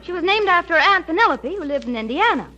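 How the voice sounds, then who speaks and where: A cheerful young niece from old radio comedy. Her voice is high and bright, with helpful, animated delivery. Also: quick pace, lo-fi sound, full character voice. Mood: teasing, helpful. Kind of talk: 1940s radio comedy acting.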